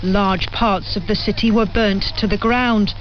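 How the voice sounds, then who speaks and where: A woman's voice: medium pitch, fairly even intonation, measured pace. Woman correspondent on a muffled radio relay. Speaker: news correspondent. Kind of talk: scripted news report. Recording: muffled, lightly noisy shortwave radio relay.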